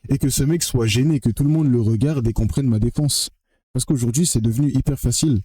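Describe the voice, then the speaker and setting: A young man from French Guiana speaking straight to the camera in a blunt, heated monologue. His voice sits at an ordinary pitch with a dark, mellow tone. The sound is close and dry, and he keeps repeating phrases for emphasis and throwing in curses. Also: fairly quick, husky, no echo. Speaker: vlogger.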